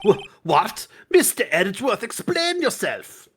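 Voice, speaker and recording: A man's voice: high-pitched, wildly swooping pitch, measured pace, background noise, exaggerated voice. A man playing a flustered, easily baffled old judge in a live dub of a courtroom game.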